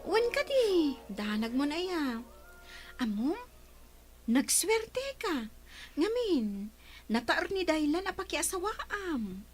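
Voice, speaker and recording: A woman's voice: high voice, very animated, slow, long pauses, heavily acted. A woman with an Ilocano Filipino accent playing a chatty, teasing friend of a bride in a radio comedy drama.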